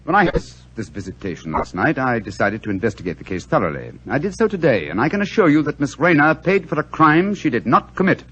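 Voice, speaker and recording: A commanding detective. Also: male voice, mid-range voice, sing-song, fast talker, few pauses, fairly bright tone, dull audio. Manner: crisp, commanding, theatrical. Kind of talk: 1940s radio acting.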